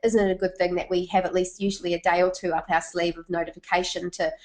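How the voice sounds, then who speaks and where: A woman's voice: lowish voice, flat delivery, quick pace. New Zealand woman presenting a webinar. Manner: chatty, asks the audience questions.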